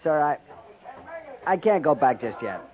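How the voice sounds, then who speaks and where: An American actor playing an earnest, troubled young cavalry lieutenant in a 1950s radio western, questioning and dutiful. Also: male voice, fairly high pitch, sing-song, a little slow, a crowd in the background. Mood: shaken, earnest. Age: young adult. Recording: tinny old radio recording, busy background.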